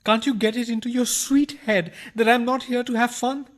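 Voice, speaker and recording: A man's voice: very high voice, fairly even intonation, moderate pace. A man acting a harried, exasperated burglar and henpecked husband in a farcical radio play, pleading melodramatically.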